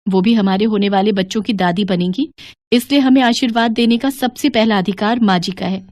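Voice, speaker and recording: A kind, respectful young daughter-in-law in a family tale, voiced by a woman storyteller. She sounds earnest and soothing at a middle pitch, recorded dry. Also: brisk, darkish tone, no room sound, lightly acted.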